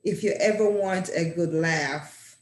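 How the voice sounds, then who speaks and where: A woman's voice: on the low side, unhurried. A woman hosting a talk show, giving formal, scripted introductions. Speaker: host. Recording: echoey room.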